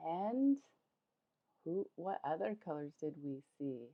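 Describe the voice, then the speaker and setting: A woman teacher reading a picture book aloud to young children, addressing them directly in an expressive, emphatic way. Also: low voice, takes it slowly, narrow, tinny audio. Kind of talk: animated children's storybook read-aloud.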